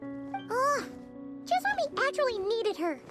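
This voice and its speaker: A woman voicing a tiny, cheeky, childlike companion in a fantasy game, squeaky and bluntly expressive. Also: slow, soft background music, full character voice. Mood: exasperated.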